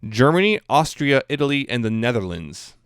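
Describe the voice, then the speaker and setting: A man's voice: medium pitch, big pitch swings, normal speed, smooth, dry recording, clean studio sound. A man co-hosting a podcast, talking casually through fan news items.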